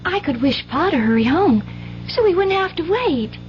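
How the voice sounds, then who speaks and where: High-pitched dreamy little country girl. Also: very animated, normal speed. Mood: eager, earnest. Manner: folksy, wonderstruck, childlike. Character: dreamy, earnest little girl who believes in wishes. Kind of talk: 1970s radio drama acting. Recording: muffled 1970s radio recording, music behind.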